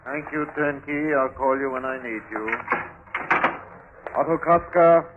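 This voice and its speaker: A man's voice: mid-range voice, unhurried, natural voice. An actor playing a self-assured magistrate, formal and clipped as he interrogates, in a 1950s radio drama. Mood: brisk, official. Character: probing, brisk, self-assured male magistrate. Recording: tinny, noisy 1950s radio recording.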